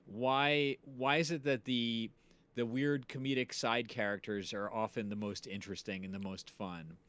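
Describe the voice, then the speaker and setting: Mid-pitched man hosting a casual chat. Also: fairly even intonation, normal speed, a bit bright, smooth. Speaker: stream host.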